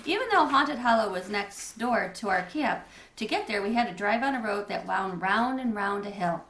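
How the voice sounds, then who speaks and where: A woman's voice: medium pitch, animated, moderate pace, fairly bright tone. A woman reading a children's storybook aloud as narrator in an echoey room. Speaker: read-aloud reader.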